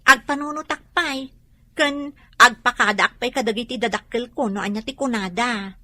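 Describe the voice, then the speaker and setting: Bright, high-voiced cautious young friend. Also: female voice, normal speed, dry recording. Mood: doubtful. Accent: Filipino. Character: cautious young rural woman, reluctant friend. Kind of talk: radio drama acting.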